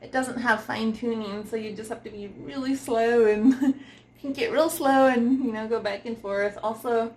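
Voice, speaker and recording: A woman giving an informal, unscripted product review, giggly and often pausing to search for words. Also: a little high, fairly even intonation, darkish tone.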